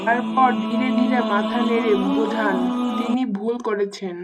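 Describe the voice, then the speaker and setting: A woman's voice: on the high side, steady tone, normal speed. A woman reading scripted narration for a moral story. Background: music.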